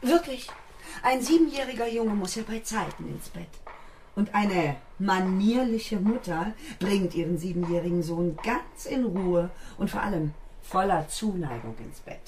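A woman's voice: medium pitch, animated, unhurried, a little mellow, a little hiss or hum. An actress playing an eccentric, defiant, free-spirited mother in a children's radio play, with a theatrical, flippant manner. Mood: mock-proper. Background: music.

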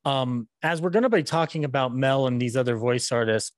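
A man's voice: medium pitch, fairly quick, no echo. A man in his thirties hosting an online event, talking in an effusive, rambling way into a close desk microphone. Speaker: podcast host introducing and interviewing a guest. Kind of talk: enthusiastic live event introduction and chat. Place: indoor room at home on a video call. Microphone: close desk microphone with headset.

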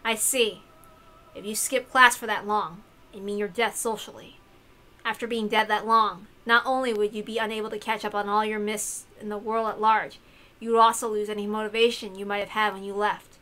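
A woman voicing a serious, thoughtful young woman in a visual novel, earnest and deliberate. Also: medium pitch, level tone, bright, forceful tone, lightly acted. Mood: grave, thoughtful. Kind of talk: acted visual novel dialogue.

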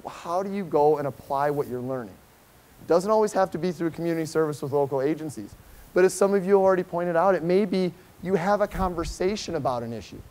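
Higher-voiced American man lecturing informally. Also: darkish tone. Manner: conversational, emphatic, often checks in with "okay?". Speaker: professor giving a conference keynote. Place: lecture hall, speaking to a seated audience.

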